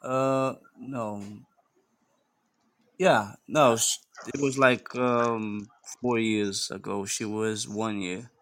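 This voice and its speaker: A man chatting casually as a podcast guest, in a hesitant, halting way. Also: lowish voice, fairly even intonation, fairly bright tone. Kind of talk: casual chat.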